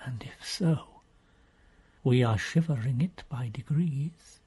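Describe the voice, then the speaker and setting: Man playing a husky, sceptical gentleman investigator. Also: medium pitch, slow pace, voice pushed down. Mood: dry, knowing. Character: cool, sceptical, know-all gentleman investigator. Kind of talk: audiobook character voice.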